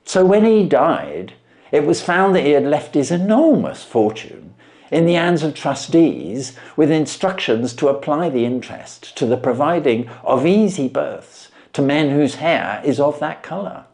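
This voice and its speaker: A man voicing an eager, smooth-talking shop assistant in a storybook reading, explaining things in a coaxing way. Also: on the high side, big pitch swings, a little slow, darkish tone, pitched above the performer's own voice.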